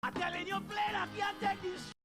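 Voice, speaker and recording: A woman's voice: extremely high pitch, level tone, normal speed. A woman singing a storytelling calypso in a rhythmic way. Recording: song with loud backing music.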